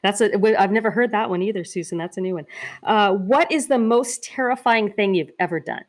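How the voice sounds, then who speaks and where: A woman's voice: mid-range voice, brisk. A woman hosting a podcast, asking short questions and encouraging guests. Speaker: podcast host. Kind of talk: interviewing guests.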